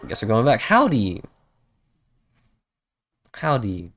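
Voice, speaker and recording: Woman streamer, offhand livestream commentary: deep voice, lively swings in pitch, playful wry asides. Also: slow, no room sound. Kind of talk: casual game livestream commentary. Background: game music.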